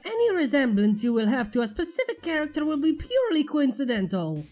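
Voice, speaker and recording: A woman voicing a pompous, excitable male skeleton in a story reading, proclaiming everything emphatically. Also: high-pitched, brisk, soft background music, pitched above the performer's own voice. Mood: indignant.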